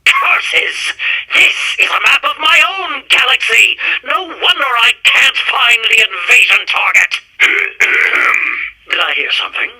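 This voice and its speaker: A man's voice: very high-pitched, expressive, slow pace, sharp tone, husky, heavily acted, poor audio. A man playing a bombastic, bumbling robot general in a retro sci-fi radio serial. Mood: befuddled. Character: blustering, muddled, bloodthirsty robot general.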